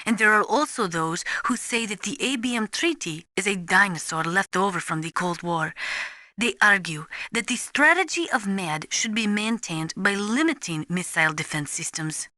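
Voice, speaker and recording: An actress voicing a serious, knowledgeable female nuclear weapons analyst in a video game, speaking in a formal, measured, precise way. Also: medium pitch, bright, forceful tone, no echo, studio quality. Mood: serious, explanatory. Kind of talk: scripted video game briefing dialogue.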